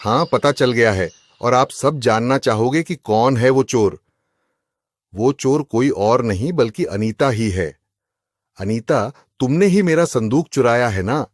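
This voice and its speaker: A man's voice: mid-range voice, animated, unhurried, dry recording. Guy playing a wronged cartoon husband, mid voice, suspicious and accusing, leans on his words. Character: suspicious, aggrieved husband robbed of his chest. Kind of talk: Hindi cartoon voice acting.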